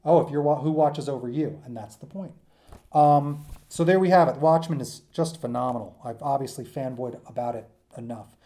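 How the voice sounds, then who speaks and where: A man's voice: mid-range voice, moderate pace, warm tone. A man giving an informal lecture to students in an enthusiastic, emphatic, rambling conversational way, as their teacher.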